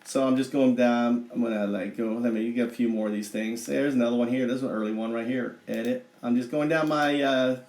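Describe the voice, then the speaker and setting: A man's voice: medium pitch, fairly even intonation, fairly quick, hardly any pauses, a little mellow, pitched below the performer's own voice, lightly acted. A man putting on an American Southern accent as a brash, folksy redneck livestream host who rambles, boasts and swears casually to his viewers. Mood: chatty, rambling. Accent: put-on American Southern. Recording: roomy, echoing livestream audio.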